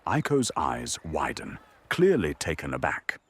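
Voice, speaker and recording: A man with a gravelly, husky voice giving dramatic scripted narration for an audio drama. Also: extremely low pitch, wildly swooping pitch, unhurried.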